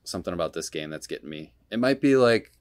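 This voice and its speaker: A man's voice: low-pitched, expressive, fast. A young man chatting casually about video games as a podcast host, in a rambling way full of hedges.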